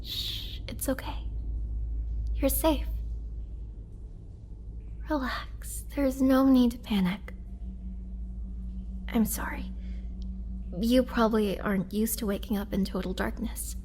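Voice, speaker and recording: A woman playing a young vampire girlfriend, tender but bloodthirsty, in a roleplay audio drama with a soft, breathy, intimate delivery that slips into whispers. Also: fairly high pitch, slow pace, long pauses, slight background noise. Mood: tender, soothing.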